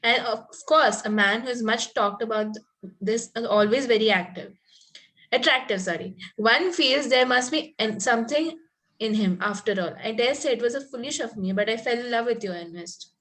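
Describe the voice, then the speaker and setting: A woman's voice: on the high side, normal speed, a bit bright, close to an everyday voice, low-quality recording. A woman reading the part of a fanciful, coy Victorian young lady in an amateur table read, prim and earnest, with occasional stumbles. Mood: dreamy, matter-of-fact.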